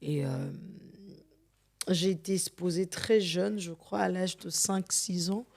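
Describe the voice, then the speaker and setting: A middle-aged woman from Congo, a gospel singer, giving an earnest and measured testimony as an interview guest, sometimes repeating words as she searches for them. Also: on the low side, vocal fry, a little mellow. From Democratic Republic of the Congo.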